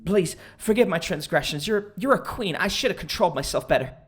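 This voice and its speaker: Audio drama: young male online creep, self-pitying, boastful, theatrical, very animated delivery. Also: fairly high pitch, a little slow. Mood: frantic pleading. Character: bitter, boastful young male internet misogynist, pleading then ranting.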